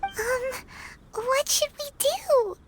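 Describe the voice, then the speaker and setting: A woman voicing a tiny, perky, chatty fairy companion in a video game, with a squeaky childlike voice and a cute, exclamatory delivery. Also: lively intonation, extremely slow, very noisy, exaggerated voice. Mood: worried, chatty.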